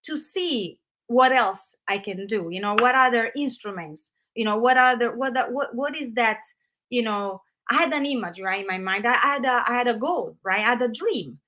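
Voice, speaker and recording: A middle-aged woman from Italy with an Italian accent, her voice slightly high and distinctly bright. She talks in long, loose runs packed with fillers, the way a guest does in a relaxed interview. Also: normal speed. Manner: animated, loose run-on phrasing with frequent fillers. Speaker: guest, actress. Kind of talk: casual interview conversation.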